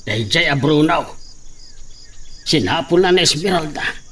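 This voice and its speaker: A man's voice: fairly high pitch, animated, unhurried, fairly bright tone, husky, exaggerated voice. A man playing an earnest, protective old peasant father in a radio drama, with an Ilocano accent. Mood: urgent, defiant.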